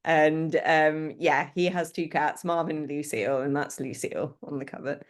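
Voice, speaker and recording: A woman's voice: sits low, steady tone, moderate pace. A woman in a band, chatting casually as a guest with lots of fillers and giggles. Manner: loud, enthusiastic storyteller. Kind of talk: casual interview chat.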